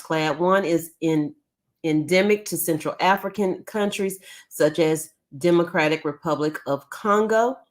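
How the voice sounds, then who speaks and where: A woman hosting a casual live news stream from home, chatty with frequent ums, who is losing her voice. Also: sits low. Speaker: YouTube news channel host.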